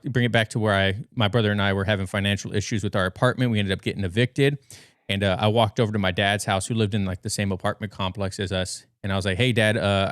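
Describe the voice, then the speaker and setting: A man's voice: on the low side, dry recording, clean studio sound. An American man in his thirties chatting casually as a podcast guest, telling stories in an informal, rambling way. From western United States. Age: 30s. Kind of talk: casual conversational storytelling. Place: bedroom at home.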